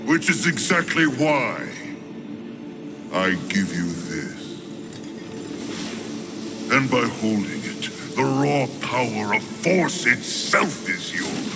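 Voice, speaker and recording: A man playing an ancient, cryptic otherworldly lord in a video game, speaking in a measured, pompous and philosophical way. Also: mid-range voice, sing-song, creaky, heavy background noise, heavily acted. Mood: knowing. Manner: measured, deliberate, pompous, theatrical. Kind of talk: video game cutscene acting.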